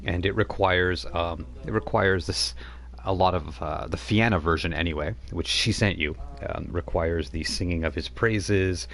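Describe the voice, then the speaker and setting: Deep-voiced man running a tabletop game. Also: moderate pace, a bit bright. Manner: rambling, hesitant, many fillers. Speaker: game master. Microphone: home microphone on a live stream.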